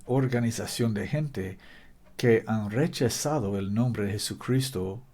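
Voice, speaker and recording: Slow, low-voiced preacher man, non-native speaker. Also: warm tone. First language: not Spanish. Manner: deliberate, stops to quote scripture, some restarts in careful non-native Spanish. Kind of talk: slow Bible-teaching sermon to camera.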